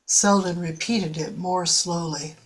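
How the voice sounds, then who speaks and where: A woman's voice: on the low side, fairly even intonation, slow pace, rough recording. A woman reading scripted narration for a radio drama as its narrator.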